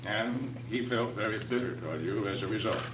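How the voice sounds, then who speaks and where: A man playing a calm, authoritative detective who questions firmly and probingly in an old-time radio drama. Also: on the low side, lightly acted, low-quality recording. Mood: businesslike. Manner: measured, probing, firm. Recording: clear hum and background noise.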